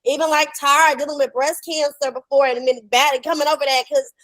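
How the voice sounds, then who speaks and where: A woman's voice: high-pitched, level tone, a little fast, fairly bright tone, no room sound. A middle-aged American woman hosting a podcast, chatting casually in an effusive, slangy way over a video call. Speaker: podcast host and developing actor. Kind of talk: casual emotional conversation.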